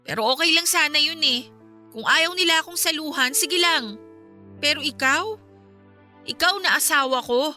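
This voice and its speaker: High, bright voice of a hurt young wife. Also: female voice, moderate pace, long pauses, soft background music. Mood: hurt, reproachful. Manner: emotional, pleading, indignant. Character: hurt young wife and mother, proud, defiant. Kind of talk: Filipino radio drama acting.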